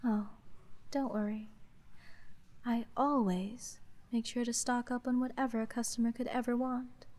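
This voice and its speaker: Casting: actress for a cunning, coolly menacing sea witch in fantasy audio roleplay; higher female voice, soft-edged, deadpan and formal. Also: unhurried, close to an everyday voice. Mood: calm, businesslike. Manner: monotone, deadpan, formal and condescending. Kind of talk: slow fantasy ASMR roleplay monologue.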